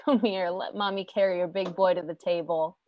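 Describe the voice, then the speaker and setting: A woman playing a tender but firm, devout mother in a radio drama, speaking in a gentle, measured way. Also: on the low side, steady tone, highs cut off, a little hiss or hum, lightly acted. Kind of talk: radio drama read-through. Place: home room, remote video call.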